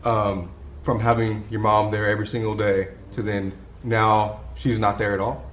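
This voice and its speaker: A man's voice: lowish voice, level tone, moderate pace, echoey room, slight background noise. A man in his twenties, reflective and earnest, sharing his family's story in a testimonial interview. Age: 20s.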